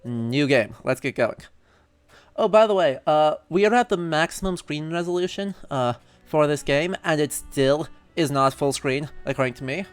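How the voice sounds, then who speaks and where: A male streamer with a clear, smooth voice pitched slightly high, chatting casually as he plays. His delivery is wry and drifts into scholarly analysis, with faint game music underneath. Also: animated, moderate pace, no room sound. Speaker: live streamer.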